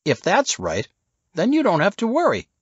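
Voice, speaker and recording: Man playing an uneasy questioner, lifted, swooping pitch. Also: huge pitch swings, moderate pace, husky, dry recording, muffled recording, full character voice. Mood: questioning, uneasy. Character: uneasy, questioning male colleague. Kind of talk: audiobook character dialogue.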